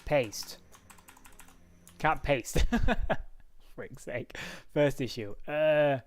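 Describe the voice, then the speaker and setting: A man's voice: a little high, very slow. A man live-streaming a racing sim, chatting casually and talking to himself as he clicks through menus, with frequent laughs.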